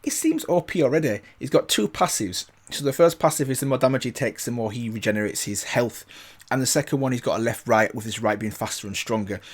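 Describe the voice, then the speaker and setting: A man's voice: medium pitch, fast. A man hosting a casual video game reaction, chatting enthusiastically with plenty of "um"s. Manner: enthusiastic, rambling, lots of fillers.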